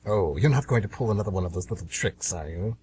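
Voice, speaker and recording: A man's voice: low-pitched, lively intonation, quick pace, warm tone. A man playing the Devil as an anxious, aggrieved, scheming businessman in a comedic amateur radio play, sardonic and always bargaining. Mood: needling. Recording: noisy amateur radio recording with hum.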